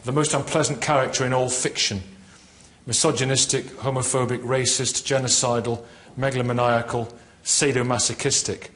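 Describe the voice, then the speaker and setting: A man's voice: mid-range voice, steady tone, normal speed, fairly bright tone. Hesitant, polite man moderating a debate.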